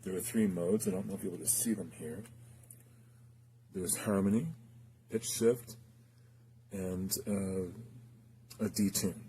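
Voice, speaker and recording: Low-pitched man, halting casual demo talk. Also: fairly even intonation, mellow, slight background noise. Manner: hesitant, halting, thinking aloud. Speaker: gear reviewer demonstrating a guitar effects pedal.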